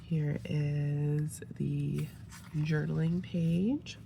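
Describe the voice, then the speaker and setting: A woman getting over a head cold, sounding a little nasal, casually showing off a sticker kit with relaxed, gushing asides. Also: low-pitched, extremely slow, vocal fry, slight background noise. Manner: relaxed, gushing, with fillers and soft trailing asides. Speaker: planner sticker reviewer. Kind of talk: casual show-and-tell narration.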